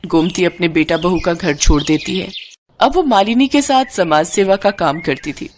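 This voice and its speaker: A woman's voice: fairly low pitch, moderate pace, darkish tone, a little hiss or hum. A woman narrating a scripted moral story.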